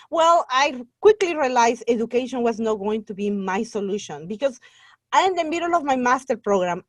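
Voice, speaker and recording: A woman's voice: fairly high pitch, no room sound. A middle-aged woman from Guatemala with a Spanish accent, a native Spanish speaker, telling her story as an interview guest in an earnest, talkative way. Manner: earnest, talkative, laughs easily. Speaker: guest, online Spanish teacher.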